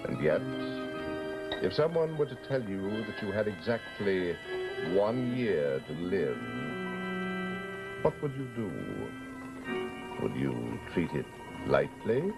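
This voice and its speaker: An actor playing an ominous, taunting horror show host, speaking in a deliberate, theatrical, questioning manner on a vintage broadcast. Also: male voice, low voice, very animated, heavily acted. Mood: ominous, teasing. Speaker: program host and narrator. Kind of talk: old-time horror anthology introduction. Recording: vintage broadcast under loud music.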